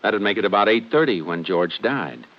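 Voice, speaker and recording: An actor with an American accent playing a calm, methodical Texas Ranger who investigates and narrates in a 1950s radio crime drama. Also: male voice, on the low side, moderate pace, natural voice. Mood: reasoning. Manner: laconic, folksy, matter-of-fact. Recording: muffled, noisy old radio recording.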